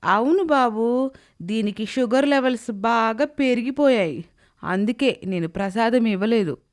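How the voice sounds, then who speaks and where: A plaintive old lady, acted by a woman in a cartoon moral tale. She complains like a child, slowly, in a clear, fairly high voice. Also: natural voice. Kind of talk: children's animated moral story.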